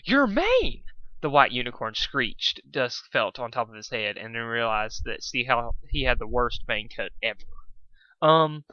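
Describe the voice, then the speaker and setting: Male hobby storyteller, mid-pitched and sharp, informal read with restarts, very dry home sound. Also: steady tone, moderate pace, hardly any pauses, no room sound, dull audio. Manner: stumbles and rereads lines. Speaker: fan fiction reader. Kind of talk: casual amateur story narration.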